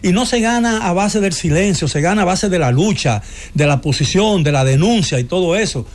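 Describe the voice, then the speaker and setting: Guy arguing politics on a talk show, lots of "eh" and restarts, voice a bit high. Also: animated, a little fast, a little hiss or hum. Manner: hesitant, many filler sounds, restarts; opinionated, asks listener for agreement. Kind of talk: political debate chat.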